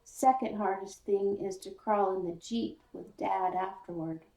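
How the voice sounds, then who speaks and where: A woman reading a children's novel aloud in first person, with deliberate, expressive storytelling. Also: medium pitch, gravelly. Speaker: audiobook reader, school speech paraprofessional. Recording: echoey room, slightly distant mic.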